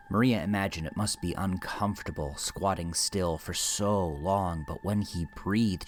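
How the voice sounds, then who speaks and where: A man narrating a horror story in a dramatic, hushed and deliberate manner with an ominous tone. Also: deep voice, slight background noise.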